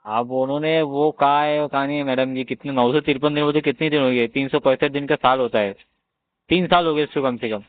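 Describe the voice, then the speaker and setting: A man's voice: medium pitch, fairly even intonation, a little fast, no room sound. An Indian man talks casually on a phone call as a borrower being chased for payment. His voice sits in a middle register, and his manner is evasive, stubborn and nonchalant. The line is thin, dry and narrowband. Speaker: loan customer on a collection call.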